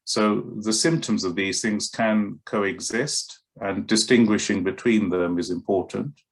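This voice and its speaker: Hesitant middle-aged man, low voice. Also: a little slow. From Kenya and West London. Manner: hesitant, many fillers and restarts. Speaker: paediatric doctor. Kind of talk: interview answers to camera.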